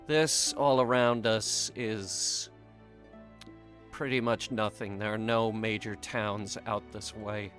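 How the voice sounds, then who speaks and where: A man's voice: on the low side, fairly even intonation, takes it slowly, fairly bright tone. A man in a tabletop roleplaying game, casually improvising and explaining the world to the other players. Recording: music behind the voice. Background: music.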